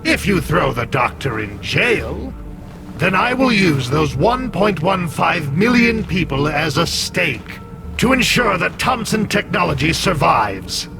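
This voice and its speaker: An actor playing a smug, manipulative AI villain in a video game cutscene, speaking in a deliberate, theatrical, taunting manner. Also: male voice, on the high side, sing-song, vocal fry, music in the background, exaggerated voice. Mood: cold threat. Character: smug, manipulative artificial intelligence villain, mocking humanity.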